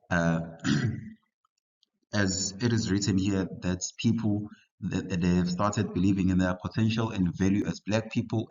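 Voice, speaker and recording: A young man from South Africa giving a casual history study lesson to learners, halting with frequent fillers and restarts. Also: low voice. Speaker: history tutor. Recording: muffled lo-fi recording.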